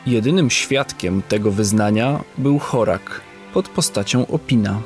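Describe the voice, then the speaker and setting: A man narrating an audio drama in a measured storytelling manner. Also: on the low side, soft background music.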